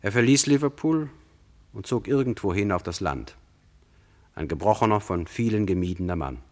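An actor playing a serious male host narrating a 1960s radio drama, measured and rhetorical as he addresses the listeners. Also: on the low side, expressive, darkish tone, lightly acted.

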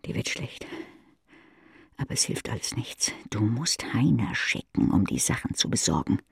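A woman's voice: very deep voice, very animated, normal speed, gravelly, husky, dry recording, studio quality. A woman reading scripted literary narration as an audiobook, dramatic, moving between murmurs and sharper delivery. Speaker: audiobook reader.

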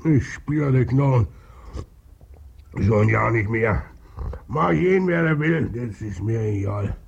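Tired grumpy berlin husband, raspy voice, drags his words, old muffled radio play. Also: medium pitch, expressive, slow pace, full character voice. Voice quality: gravelly, husky. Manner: sluggish, grumbling, mumbled colloquial speech. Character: slow, grumbling, weary working-class husband. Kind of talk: 1950s German radio play acting. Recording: dull vintage radio recording.

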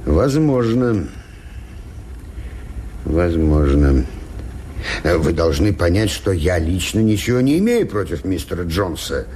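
A gruff, weary ship's captain in a radio play, with a low, dark voice that moves expressively. He speaks confidentially and hesitantly over light noise from an old recording. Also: big pitch swings, full character voice. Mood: hesitant, cautious. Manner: hesitant, deliberate, with pauses and muttered asides. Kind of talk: Soviet radio drama acting.

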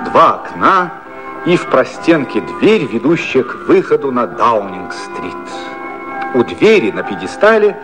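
A man reads scripted scene-setting in the manner of 1950s radio. His delivery is measured and expressive, with pitch that rises and falls widely. Music plays clearly behind his voice. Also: rough recording. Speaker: narrator of a radio play. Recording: old 1950s radio recording.

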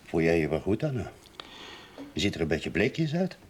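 A concerned, paternal man from a radio play. His voice is fairly low, kindly but firm, with faint noise and clicks on the recording. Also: big pitch swings, moderate pace. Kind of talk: naturalistic radio drama dialogue.

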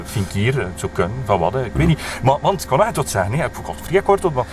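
An actor playing a flustered, long-winded local witness with a Flemish accent, halting and repeating himself. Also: male voice, mid-range voice, sing-song, music in the background, lightly acted. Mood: rambling, insistent. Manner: halting, repetitive, circles around the point.

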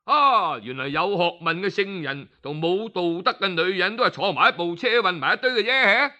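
A man's voice: high voice, expressive, normal speed, sharp tone, heavily acted. A man with a Cantonese accent voicing gossiping townsfolk in a story, exclaiming and mocking. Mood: scornful. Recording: slightly dull, lo-fi audio.

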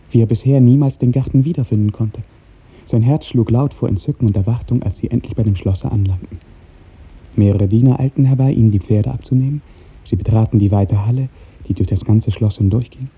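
A man narrating a literary radio drama in an earnest, lyrical, carefully enunciated way, with a soft, husky voice. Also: lowish voice. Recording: muffled narrow-band 1950s radio recording.

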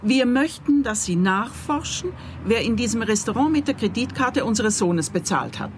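A woman's voice: fairly high pitch, expressive, normal speed, natural voice. A composed middle-aged mother played by a woman in a radio play, speaking. She is reserved and polite, choosing her words with care over faint background noise. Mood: hesitant, hopeful.

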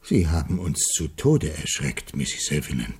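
An actor playing an earnest, troubled gentleman in a German radio drama, polite and formal in a measured way. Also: a bass voice, sing-song, slightly rough, exaggerated voice. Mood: astonished.

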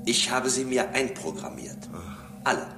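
An actor playing a calm, devout and condescending male robot in a German radio drama, speaking in a measured, formal way. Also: medium pitch, close to an everyday voice. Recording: echoing voice with loud music.

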